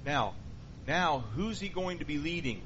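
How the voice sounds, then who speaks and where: Man, Bible class teacher, slightly raised pitch, questions the group often, lo-fi recording. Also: lively intonation, a little slow, a bit bright. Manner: asks the group questions and reviews answers. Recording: dull lo-fi with faint hiss.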